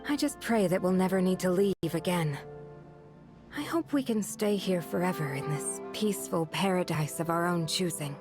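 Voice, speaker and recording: A woman's voice: fairly low pitch, normal speed, music in the background, lightly acted. An actress playing an earnest, steadfast woman who guards a desert village, speaking with composed sincerity in a video game. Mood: weary, hopeful.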